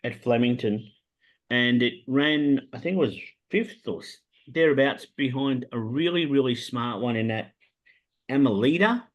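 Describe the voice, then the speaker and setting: An Australian man hosts a laid-back racing chat and talks up his tips like a salesman. His pitch sits mid-range, and he stumbles through plenty of "um"s as he goes. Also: unhurried. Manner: animated salesman pitch, many "um" fillers. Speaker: racing tipster host. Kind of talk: casual podcast chat about horse racing.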